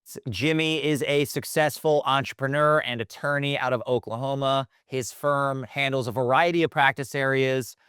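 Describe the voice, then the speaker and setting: A man's voice: mid-range voice, steady tone, normal speed, hardly any pauses, a bit bright, clean tone, no room sound, clean studio sound. A man hosting a podcast, introducing his guest and asking questions in a friendly, loose way. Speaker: podcast host. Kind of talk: casual interview hosting.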